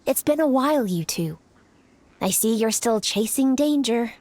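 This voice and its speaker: A woman playing a noble commander in a video game, composed and authoritative, with a measured, formal, businesslike delivery. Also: fairly high pitch, expressive, darkish tone. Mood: approving, familiar.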